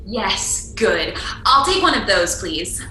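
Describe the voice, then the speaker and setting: A woman's voice: mid-range voice, very animated, takes it slowly, bright, forceful tone, exaggerated voice. A woman playing a sassy, bold little fairy-tale girl in a radio play, brash, cheeky and defiant. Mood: breezy. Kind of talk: irreverent radio play acting. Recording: roomy, faintly noisy recording.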